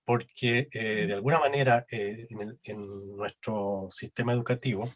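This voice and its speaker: A man with a somewhat husky voice moderates a panel over a video call. He pauses often, fills gaps with filler sounds, and keeps checking in with short tag questions. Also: on the low side, steady tone.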